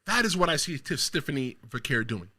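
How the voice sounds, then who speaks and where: A man from the Bronx hosts his own wrestling show, speaking directly to his viewers. His voice is high and piercingly bright, and it swings widely in pitch. He pushes out his hot takes with real emphasis. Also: very animated, a little fast. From the Bronx, New York. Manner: talks straight to viewers. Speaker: show host. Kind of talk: solo wrestling commentary show.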